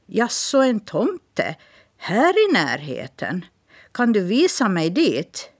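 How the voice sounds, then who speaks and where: A woman voicing a small, eager male elf, in a slightly raised, lively voice. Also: medium pitch, no echo, studio quality.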